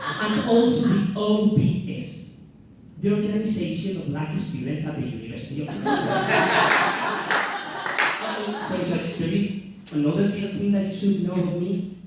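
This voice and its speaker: Spanish-accented comedian in an echoey club. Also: male voice, high-pitched, moderate pace. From Spain. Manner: self-mocking, playful, theatrical. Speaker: stand-up comedian. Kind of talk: stand-up routine. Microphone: handheld stage mic. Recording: very echoey room, distant mic, faint noise.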